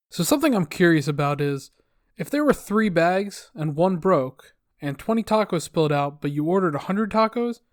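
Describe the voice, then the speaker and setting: A man's voice: high-pitched, no echo, studio quality. A man reading online posts aloud, adding wry, sarcastic comments between them.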